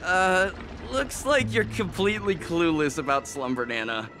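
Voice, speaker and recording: A man's voice: on the high side, very animated, moderate pace, background noise. A man playing an officious, condescending young fan club gatekeeper in a video game. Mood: smug. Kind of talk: video game story acting.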